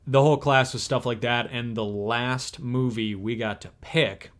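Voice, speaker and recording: A man's voice: medium pitch, steady tone, measured pace. A young man in his twenties co-hosting a casual chat, rambling with many fillers and restarts. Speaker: co-host.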